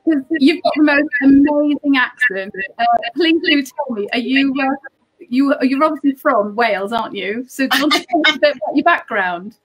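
A woman's voice: high-pitched, expressive, moderate pace, fairly bright tone, low-quality recording. A woman hosting a casual online interview, effusive and often restarting her sentences. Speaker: host.

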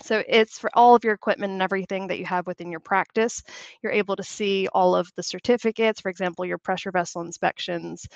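A woman, slightly hoarse from a cold, presenting a webinar over a video call in a chatty, upbeat way. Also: mid-range voice, no room sound. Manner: chatty, upbeat, frequent "you know". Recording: dry, slightly dull video call audio.

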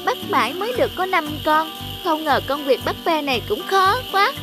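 An eager, curious little schoolboy in a cartoon folk tale, played by a woman. The voice is high and childlike, with children's music clearly behind it. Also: animated, fairly quick. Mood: puzzled, curious. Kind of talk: scripted cartoon dubbing.

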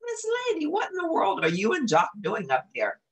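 Woman doing a genteel Southern hound dog, swooping pitch. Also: huge pitch swings, moderate pace. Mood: surprised. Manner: genteel, folksy. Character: courteous, folksy old Southern gentleman hound dog.

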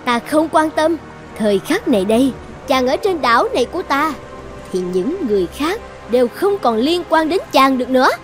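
A woman's voice: high voice, sing-song, normal speed. A woman voicing a possessive young island sorceress in a cartoon fairy tale, coaxing and threatening with commanding self-assurance. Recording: music behind the voice.

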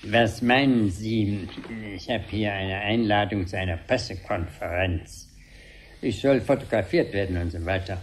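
A man's voice: on the low side, a little slow, slight background noise, lightly acted. An actor playing a polite, hesitant, pampered man who indulges his wishes in a 1970s radio play. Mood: musing.

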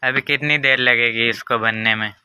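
A man's voice: medium pitch, fairly even intonation, normal speed, sharp tone, smooth. A young man from Pakistan filming a family cooking scene outdoors, narrating casually and asking curious questions. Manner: chatty, curious, asks many questions. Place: outdoor village courtyard.

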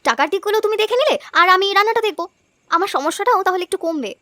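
A woman's voice: extremely high pitch, expressive, rapid-fire, a bit bright, no echo, studio quality. A woman voicing a hardworking, cheerful young village wife in a cartoon, eager and affectionate. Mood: reassuring. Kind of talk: cartoon dubbing.

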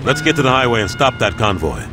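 An actor playing a tough, streetwise gang lieutenant, blunt, profane and commanding, in a video game. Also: male voice, medium pitch, sing-song, quick pace, music in the background, lightly acted. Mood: decisive. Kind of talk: game dialogue acting.

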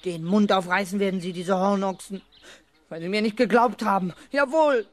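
An actor playing a cocky, scheming schoolboy who talks boastfully and emphatically in a 1970s children's radio play. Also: male voice, high voice, normal speed, lightly acted. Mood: boastful, sulky. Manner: boastful, emphatic, self-assured. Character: cocky, scheming young schoolboy with big fantasies.

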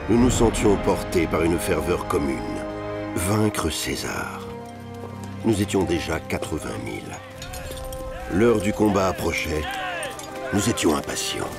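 An actor playing a proud Gallic warrior, solemnly and resolutely recounting battle in an animated historical drama. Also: male voice, sits low, unhurried, gravelly, long pauses, over loud music, heavily acted. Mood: fervent. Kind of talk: dramatized first-person testimony in historical animation.

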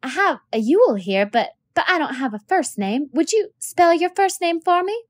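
A woman's voice: big pitch swings, normal speed, no echo. A woman reading aloud, voicing a flustered young schoolteacher who stammers nervously. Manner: nervous, stammering, high and breathless.

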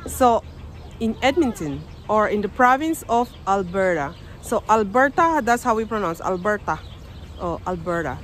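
A woman's voice: medium pitch, lively intonation, normal speed, slight background noise. A woman in her thirties from the Philippines with a Filipino accent, talking casually to a phone camera outside on a snowy driveway. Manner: chatty, explaining, loose grammar. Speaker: vlogger. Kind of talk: casual vlog talk to camera. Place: outdoors on a snowy suburban driveway. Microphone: phone held at arm's length.

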